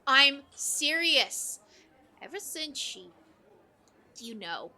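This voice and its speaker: A woman's voice: sits high, very animated, extremely slow, sharp tone, exaggerated voice. A woman playing a restless, persuasive young best friend, casual and coaxing, in an audio drama. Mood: concerned.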